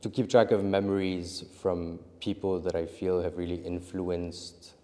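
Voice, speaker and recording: A man's voice: deep voice, flat delivery, a little slow, warm tone, in a large hall. A young man answering interview questions about his film, rambling and restarting often as he talks. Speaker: interview guest, filmmaker.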